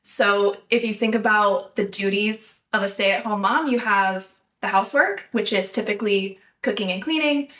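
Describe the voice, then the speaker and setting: A young woman from the United States hosting a podcast, talking in a measured, thoughtful way with light laughs. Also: mid-range voice, fairly bright tone, recorded from a distance. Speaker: podcast host. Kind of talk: casual podcast chat.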